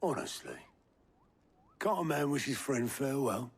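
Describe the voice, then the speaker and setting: A man's voice: mid-range voice, animated, moderate pace, slightly rough. A man playing a loyal friend and deputy in a cinematic video game, speaking in an informal, sincere way. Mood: friendly, sincere. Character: loyal, warm-hearted male friend and deputy.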